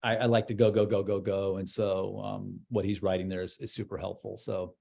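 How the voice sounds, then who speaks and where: A man's voice: deep voice, flat delivery, a little fast, vocal fry, a little mellow. A man in his fifties who is a guest in an interview. He speaks thoughtfully and casually, often searching for words and trailing off. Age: 50s. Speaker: church leader guest.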